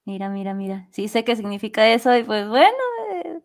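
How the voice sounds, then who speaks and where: A woman's voice: mid-range voice, animated, brisk. A woman with a Latin American Spanish accent chatting playfully as an interview guest. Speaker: guest, amateur voice actress. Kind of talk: casual interview chat.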